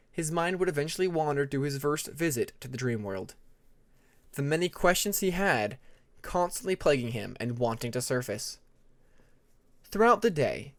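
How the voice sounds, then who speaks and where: Male fiction narrator, slightly high voice, very lively intonation, deliberate dramatic delivery. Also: dry recording. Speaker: audiobook narrator.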